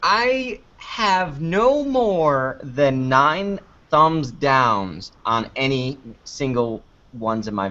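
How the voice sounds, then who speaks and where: A man with a fairly low voice talks as an interview guest, rambling and joking at his own expense. The delivery is casual and unscripted. The sound is somewhat dull and lo-fi. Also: a bit bright. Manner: rambling, self-deprecating, jokey. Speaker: interview guest, online video maker.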